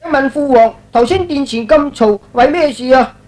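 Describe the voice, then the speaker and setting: A man voicing a brash, excitable young prince in a Cantonese radio drama, cocky and eager. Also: very high-pitched, normal speed, voice pushed up. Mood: eager, respectful.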